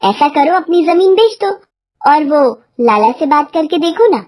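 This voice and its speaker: High-pitched nagging farmer's wife, played by a woman. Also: animated, measured pace, a little mellow, dry recording, clean studio sound. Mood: nagging, urging. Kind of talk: cartoon moral story dialogue.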